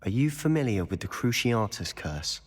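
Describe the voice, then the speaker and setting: A man's voice: on the low side, very animated, moderate pace. A man playing a brooding, persuasive teenage wizard in a video game, serious and confiding in manner. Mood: grave, confiding. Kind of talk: video game cutscene acting. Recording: long hall-like reverb.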